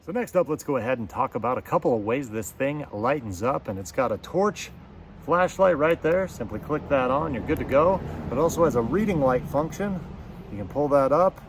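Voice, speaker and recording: Folksy dude doing a casual product review, slangy n playful, noticeable outdoor noise behind him. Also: male voice, medium pitch, expressive, moderate pace.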